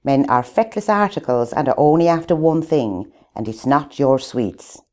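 A woman's voice: rumbling low, animated, normal speed, darkish tone. A woman putting on a stern old nun. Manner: strict, blunt, sermonizing.

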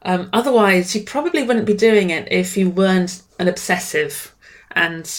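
A woman's voice: on the low side, moderate pace. A middle-aged woman writer in an interview, speaking with enthusiasm and often restarting or repeating words. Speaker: writer and guest. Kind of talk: conversational interview.

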